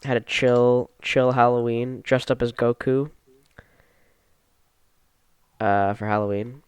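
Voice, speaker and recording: A man's voice: mid-range voice, steady tone, takes it slowly, clean tone, dry recording. A man co-hosting a podcast in casual chat, sniffly with a cold, teasing and joking playfully. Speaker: podcast co-host.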